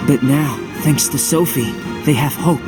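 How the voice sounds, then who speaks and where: An actor playing a sympathetic, easygoing soldier companion in a video game cutscene, with music behind him. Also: male voice, a little high, measured pace, natural voice.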